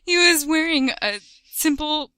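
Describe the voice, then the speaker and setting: A woman's voice: high-pitched, expressive, unhurried, bright, forceful tone, no echo. A woman reading a parody fan story aloud with a friend, often breaking into giggles mid-sentence. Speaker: co-reader.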